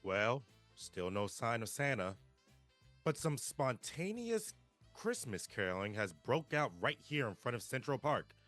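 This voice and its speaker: A man's voice: mid-range voice, sing-song, a little slow, fairly bright tone, faint music behind. A man playing an upbeat television reporter on location in a live comedy table read. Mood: broadcast brightness.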